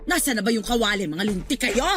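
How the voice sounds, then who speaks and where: A woman's voice: mid-range voice, animated, fast, a bit bright, soft background music, close to an everyday voice. An actress playing a stern, exasperated middle-aged mother who scolds her children in a radio drama. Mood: scolding. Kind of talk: Tagalog radio drama acting.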